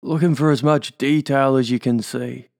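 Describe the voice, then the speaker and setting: A man's voice: medium pitch, fairly even intonation, normal speed, a little mellow, no echo, studio quality. A man with an Australian accent leading a guided hypnosis induction, giving soothing suggestions and tag questions.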